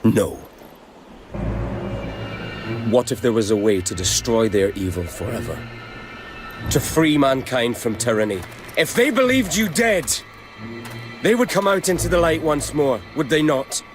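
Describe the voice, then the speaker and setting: An actor playing a solemn, resolute warrior son in a dark fantasy game, speaking with measured, earnest, portentous delivery. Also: male voice, mid-range voice, expressive, natural voice. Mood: urgent persuasion. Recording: music under the voice.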